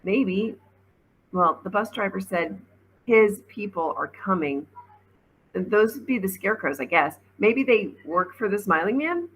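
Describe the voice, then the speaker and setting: A woman's voice: medium pitch, lively intonation, measured pace, a bit bright, breathy edge, rough recording. A woman voicing a thoughtful, wary, slightly awkward girl in a storybook, reasoning aloud with some hesitation.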